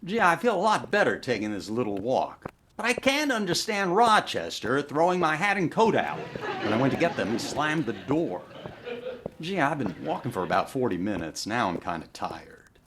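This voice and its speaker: A man's voice: mid-range voice, sing-song, moderate pace, fairly bright tone, a little hiss or hum. A middle-aged man playing a vain, stingy, sulking boss in a recreated 1950s radio comedy, huffy and indignant. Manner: huffy, indignant comic delivery.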